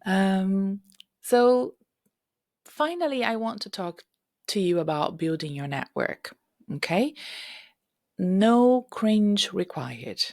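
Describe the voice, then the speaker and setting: A middle-aged woman hosting a career-advice podcast, hoarse and husky from illness, speaking directly and coaching listeners with frequent "okay?" checks. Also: medium pitch, animated, slow, long pauses, darkish tone, dry recording, studio quality. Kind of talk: solo podcast advice talk. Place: home room.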